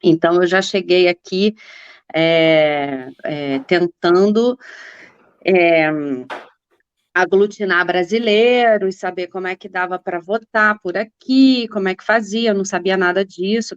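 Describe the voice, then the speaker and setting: A woman's voice: medium pitch, clean tone, no room sound, lo-fi sound. A woman in her forties, a political activist guest, telling her life story in a rambling, casual way with many fillers and restarts. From Brazil.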